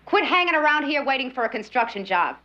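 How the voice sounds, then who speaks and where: A woman's voice: high voice, big pitch swings, fast, pushed and bright, muffled recording, full character voice. An actress playing a woman police officer who speaks out emotionally about her strained marriage. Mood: cutting. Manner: emotional, outspoken. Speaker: police detective.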